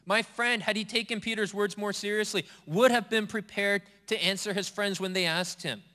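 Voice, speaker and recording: A man preaching a sermon as a pastor, speaking earnestly in a steady, repeating preaching cadence. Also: high-pitched, normal speed, in a large hall. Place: church sanctuary.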